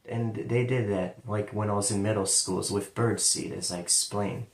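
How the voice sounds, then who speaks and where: Ohio-accented man, lowish voice, casual rambling storyteller, occasional W-for-L slip, sits back from the mic. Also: fairly even intonation, moderate pace. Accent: central Ohio. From London, Ohio, USA. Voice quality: sometimes turns L into a W sound. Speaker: vlogger telling his life story. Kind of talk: personal storytelling monologue. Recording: roomy, distant from the mic.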